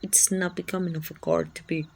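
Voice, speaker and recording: A woman's voice: fairly low pitch, little pitch movement, fairly quick, gravelly, slightly rough. A woman with a Mexican accent playing a friendly, helpful, chatty city guard from a medieval fantasy kingdom in an ASMR roleplay, speaking reassuringly and politely to the listener. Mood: chatty, informative.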